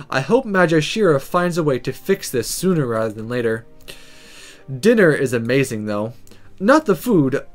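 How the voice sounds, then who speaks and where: A let's player reading a visual novel aloud in a dramatic, sometimes halting storytelling manner. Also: medium pitch.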